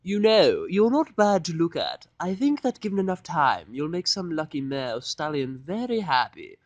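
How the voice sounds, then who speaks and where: A man voicing a refined, theatrical female pony fashionista in an audiodrama, fussy and flattering, with affected, drawn-out genteel phrasing. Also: a little high, no room sound, voice pushed up. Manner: affected, drawn-out, genteel delivery with terms of endearment. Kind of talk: audiodrama fan fiction character voice.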